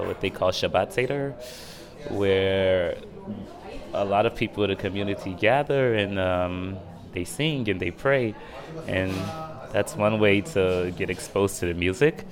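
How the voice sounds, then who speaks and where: A young man from Israel chatting casually as an interview guest, speaking in a hesitant, reflective, halting way. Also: sits low, a crowd in the background. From Dimona, Israel. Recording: music playing behind the voice.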